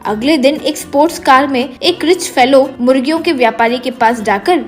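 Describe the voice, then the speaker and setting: A woman's voice: sits high, moderate pace, soft background music. A woman narrator reading a scripted children's story.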